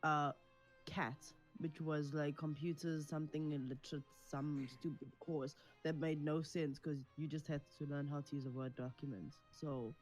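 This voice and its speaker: A man's voice: on the high side, level tone, faint music behind. A young man from Africa, chatting casually on a podcast and restarting his sentences as he goes. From South Africa. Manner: quick, restarts mid-sentence. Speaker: podcast co-host. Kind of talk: casual chat.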